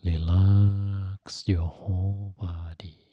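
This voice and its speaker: Very slow, low-voiced man guiding meditation. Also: level tone, no room sound, dull audio. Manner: gentle, instructive, phrase by phrase with long pauses. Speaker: Buddhist monk and meditation teacher. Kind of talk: guided meditation.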